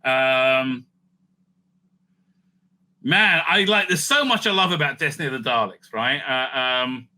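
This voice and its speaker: A man hosting a casual, off-the-cuff ranking commentary, animated and thinking aloud with frequent asides. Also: mid-range voice, unhurried, pauses often. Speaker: host ranking TV serials.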